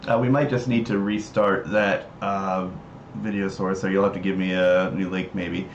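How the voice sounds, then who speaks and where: Low-voiced man in a roomy, echoing space. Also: flat delivery, moderate pace. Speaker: livestream host. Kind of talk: casual explanatory livestream talk. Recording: roomy echo, dull mic, noisy background.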